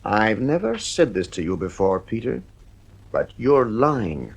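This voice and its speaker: A man's voice: fairly low pitch, big pitch swings, measured pace, gravelly, husky, full character voice. An actor in a radio play playing a strict, worn-down father, stern, irritable and emphatic. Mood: stern, exasperated.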